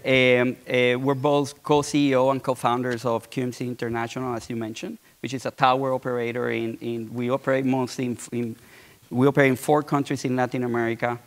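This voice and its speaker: A man in his forties from Puerto Rico speaks on an auditorium stage through a lapel mic. His voice has a gravelly, fry-edged texture at a middle pitch. He talks loosely, with frequent restarts and repeated words. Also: level tone, brisk. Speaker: guest entrepreneur. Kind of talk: conference fireside chat.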